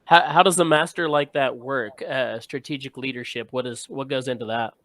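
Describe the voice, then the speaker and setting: A man's voice: mid-range voice, steady tone, moderate pace, fairly bright tone, no room sound. A man hosting a casual sports interview, speaking in an informal, encouraging way. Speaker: host.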